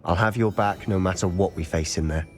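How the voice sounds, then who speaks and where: A man's voice: sits low, fairly quick, a little hiss or hum, natural voice. A man playing an eager, loyal teenage wizard schoolboy in a video game, earnest and conspiratorial, with a British accent. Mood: earnest, persuasive. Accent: British English.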